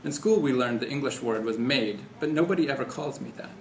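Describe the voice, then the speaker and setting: A man's voice: medium pitch, a little fast. A man reading a first-person short story aloud as an author. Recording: echoey room, faint background noise.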